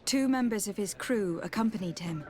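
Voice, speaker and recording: A woman plays a young noblewoman investigating in a fantasy game. Her voice sits at a medium pitch, composed and polite, with measured, formal questions. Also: expressive, darkish tone, lightly acted. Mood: earnest. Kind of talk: video game dialogue acting.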